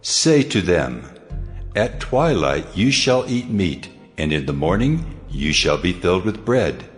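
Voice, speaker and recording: A deep, solemn divine figure speaks with measured authority. It is a commanding God of dramatized scripture, with faint music behind the words. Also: male voice, sing-song. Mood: stern. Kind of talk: dramatized scripture audio acting.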